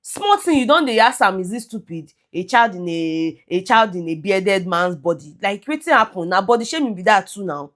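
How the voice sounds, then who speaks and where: A Nigerian woman vlogging, giving animated and opinionated reality-show commentary that mixes in Pidgin. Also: mid-range voice, normal speed, hardly any pauses.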